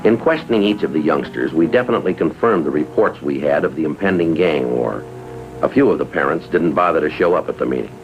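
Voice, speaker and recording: Stern American police sergeant, 1950s crime drama. Low, clipped, factual delivery; dull old soundtrack with music. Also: male voice, fast. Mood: matter-of-fact narration. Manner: clipped, matter-of-fact, deadpan. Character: stern, methodical male police detective sergeant. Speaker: narrator and lead police sergeant.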